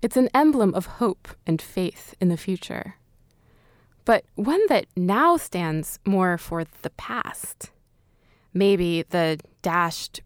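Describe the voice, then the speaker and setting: A woman's voice: fairly low pitch, darkish tone, no room sound, clean studio sound. A woman hosting and narrating a scripted audio documentary. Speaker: podcast host and narrator.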